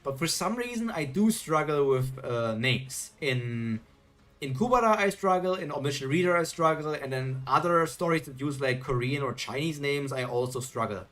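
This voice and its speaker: A man's voice: fairly high pitch, lively intonation, normal speed, fairly bright tone, faint music behind. A man chatting casually while reacting to a webtoon on a live stream and reading chat. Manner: chatty, self-questioning, reads chat aloud.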